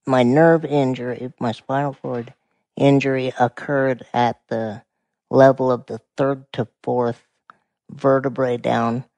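A man in his forties from Montana with a quiet voice, calmly explaining things as a podcast guest. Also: mid-range voice, takes it slowly, no echo, low-quality recording. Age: 40s. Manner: calm, explanatory. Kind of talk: casual conversation.

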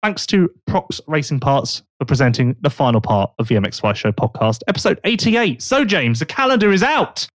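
Animated man hosting a podcast. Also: a little high, normal speed, hardly any pauses, no room sound. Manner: lively, joking, thinks aloud. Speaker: podcast host. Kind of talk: casual podcast chat.

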